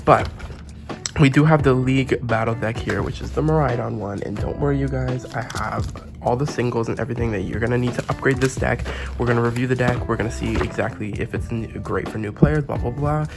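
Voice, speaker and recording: A man who is sick with a sore throat, his voice gravelly and rough. He talks in a casual, chatty way and gushes over the packaging as he walks through the cards. Music plays clearly underneath him. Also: medium pitch, a little fast. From Canada. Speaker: trading card game YouTuber. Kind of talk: casual unboxing and deck review.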